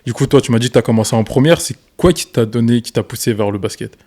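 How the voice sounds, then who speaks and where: A man's voice: medium pitch, warm tone. A man hosting a podcast, asking easygoing questions in casual chat. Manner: easygoing questions, quick agreement. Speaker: podcast host.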